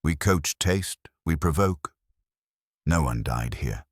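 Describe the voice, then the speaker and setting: A man's voice: a bass voice, expressive, takes it slowly, slightly rough, dry recording, studio quality, heavily acted. A man voicing a slick, smug male media provocateur in a mystery story, glib and defiant.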